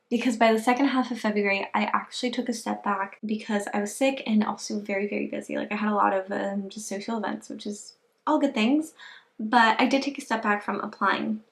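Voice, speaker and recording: A young woman talking casually into her phone in a vlog diary, chatty, with lots of "like" fillers, nervous and excited. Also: fairly high pitch. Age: young adult. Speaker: vlogger applying to grad school.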